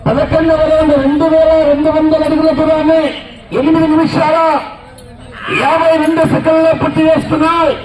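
A man commentating on a rural race as live announcer, calling out excitedly with drawn-out whoops and repeated calls. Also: very high-pitched. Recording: noisy echoing loudspeaker outdoors, loud crowd. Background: loud crowd and noise.

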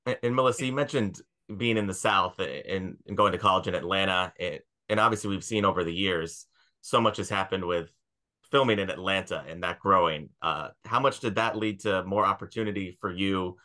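Lowish-voiced man hosting a podcast. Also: fairly quick, sharp tone. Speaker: podcast host. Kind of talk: casual interview hosting. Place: indoor room, video call.

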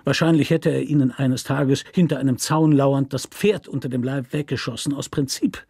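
A man's voice: medium pitch, normal speed, creaky, a little mellow, husky, dry recording, clean studio sound. A man voicing a cynical politician, a state governor, condescendingly courteous and emphatic in an audiobook story.

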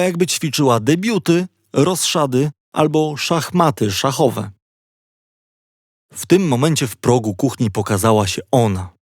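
A man's voice: mid-range voice, a little mellow, no room sound, clean studio sound. A man reading a children's audiobook, telling it conversationally with playfully wry asides. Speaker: audiobook narrator. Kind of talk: scripted narration of a children's audiobook.

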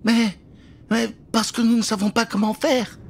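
Young heroine of a fairy tale, voiced by a man: high, urgent, pleading, brave. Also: little pitch movement, unhurried, gravelly, a little hiss or hum, full character voice. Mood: nervous. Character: young girl, brave and pleading older sister.

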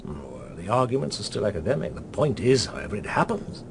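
A wry, knowing British fellow in a radio play. He is clipped and dry, with lively intonation, over faint vehicle noise. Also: fairly low pitch, fairly quick, vocal fry. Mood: explanatory. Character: wry, knowing man briefing an old acquaintance. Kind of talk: naturalistic British radio drama acting.

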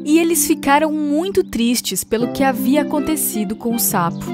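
A woman's voice: high voice, animated, moderate pace. A woman narrating a scripted fable for children as a storyteller. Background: music.

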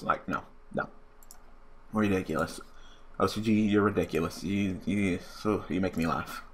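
A young man with a hoarse, worn-out voice commentating a card game online, swearing and ranting casually. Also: on the low side, slight background noise. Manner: profane, rambling, excitable rants. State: hoarse, losing his voice. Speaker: gaming channel host.

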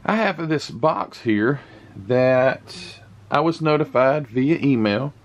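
A man hosting his model car channel, chatting casually while unboxing a parcel, his voice croaky from the morning, with lots of restarts. He talks indoors into a camera set a little way back from him. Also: mid-range voice, expressive, takes it slowly. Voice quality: rambling, restarts often. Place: indoor room with camera on a table. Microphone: camera mic set back from him.

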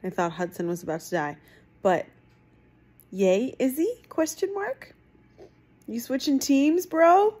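A woman in her thirties chatting casually and emphatically to her phone at home, reacting in an animated, informal way. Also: high-pitched, takes it slowly, long pauses, breathy edge. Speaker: book vlogger giving reading reactions. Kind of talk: casual reaction chat to camera. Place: small room at home, indoors. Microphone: phone at arm's length.

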